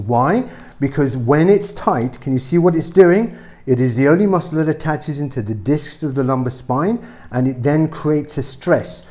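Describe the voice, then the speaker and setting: A middle-aged man, a chiropractor, explaining a treatment lively and emphatically in a small clinic room. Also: on the high side.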